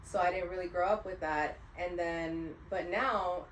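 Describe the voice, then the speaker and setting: A young woman co-hosting a podcast, chatting in a relaxed, rambling way with many pauses. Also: fairly low pitch. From United States. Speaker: podcast co-host. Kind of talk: casual chat. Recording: roomy echo, mic at a distance, faint hiss.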